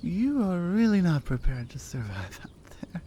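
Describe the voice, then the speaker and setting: A woman's voice: lowish voice, big pitch swings, warm tone, a little hiss or hum. A woman playing a strong, teasing Nordic warrior in an ASMR roleplay, with a Nordic accent and a dry, playful, skeptical manner. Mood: playful, candid. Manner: playful, dry, conversational with pauses. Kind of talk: ASMR roleplay audio drama.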